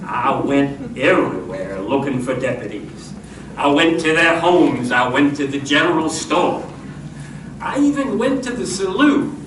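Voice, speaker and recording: Casting: male actor as earnest, hammy Western marshal, high lively voice, comic radio play. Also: unhurried. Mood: solemn. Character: earnest, jittery Western town marshal telling his own tale. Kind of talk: comic old-time radio acting with narration. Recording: distant, echoey, noisy live stage recording.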